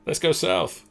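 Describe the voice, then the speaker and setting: A man with a creaky voice chatting and joking casually as a player in a group game. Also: on the high side.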